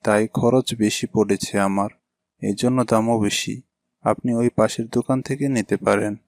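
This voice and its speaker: A man's voice: lowish voice, fairly even intonation, normal speed, creaky, a little mellow, no echo, studio quality. A man voicing an ice cream vendor serving customers in a cartoon. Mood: explaining. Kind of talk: cartoon dialogue.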